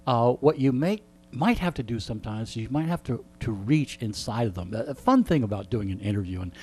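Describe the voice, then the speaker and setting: A man's voice: mid-range voice, lively intonation, a little fast, mellow, slightly rough. A middle-aged man in casual radio chat, teasing wryly and rambling with repeated phrases. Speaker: radio entertainment presenter, guest.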